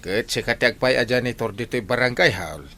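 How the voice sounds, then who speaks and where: A man's voice: mid-range voice, fairly quick, pushed and bright. A man with an Ilocano Filipino accent playing a good-natured, fair-minded village captain in a radio comedy drama. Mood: reasonable.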